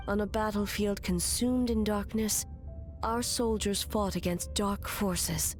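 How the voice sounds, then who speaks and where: An actress playing a regal immortal ruler in a video game, with formal, measured, aloof delivery over music. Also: female voice, medium pitch, darkish tone, lightly acted. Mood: solemn, reflective. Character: composed, regal immortal female ruler in an artificial body. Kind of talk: scripted video game voice acting. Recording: music behind the voice.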